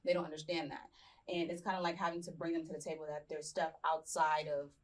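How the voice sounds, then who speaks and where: A woman's voice: deep voice, level tone, quick pace. A woman guest on a panel show who talks reflectively and searches for words. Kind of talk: casual panel talk.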